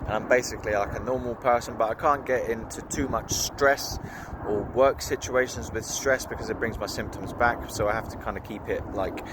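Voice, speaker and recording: A man's voice: lowish voice, steady tone, a little fast, noisy background. A young man casually telling a story to camera, rambling and informal. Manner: rambling, informal, with fillers and laughs. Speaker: vlogger.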